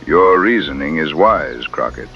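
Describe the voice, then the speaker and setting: Very deep, gravelly man playing a solemn brave. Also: expressive, highs cut off, faint music behind, heavily acted. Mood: solemn, guarded. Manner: formal, measured, terse speech. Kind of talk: audio drama acting.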